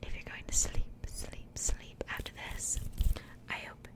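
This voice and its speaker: Woman doing asmr, all whispered and breathy, chatty and gentle, right up close on the mic. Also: measured pace. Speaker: host of an ASMR doll unboxing channel. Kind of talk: whispered ASMR, casual product chat.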